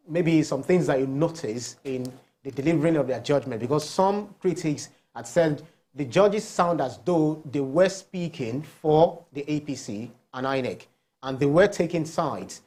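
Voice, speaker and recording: A man's voice: fairly high pitch, measured pace, darkish tone. A man working as a TV news interviewer, putting questions in a polite, roundabout way.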